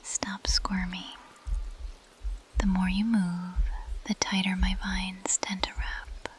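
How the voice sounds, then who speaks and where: An ancient, possessive plant monster woman, acted by a woman for ASMR, whispers close to the mic. Her delivery is slow, breathy and even, teasing her prey with quiet menace. A light bed of background noise sits behind the voice. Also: medium pitch, lightly acted. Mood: predatory, teasing. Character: seductive, possessive female carnivorous plant monster, ancient predator. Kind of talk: ASMR roleplay monologue. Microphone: close microphone.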